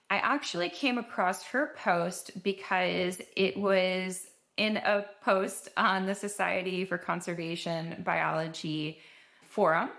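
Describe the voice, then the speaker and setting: A woman's voice: lowish voice, a bit bright. A young woman introducing her podcast episode in an earnest, conversational way, sometimes restarting her sentences. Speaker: podcast host.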